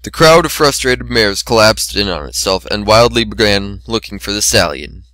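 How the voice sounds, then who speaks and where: A man narrates a story as a dramatic reading. His voice is bright and forceful, pitched a little low, and the recording is dry and close. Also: expressive, normal speed, no echo, rough recording. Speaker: amateur reader of fan fiction.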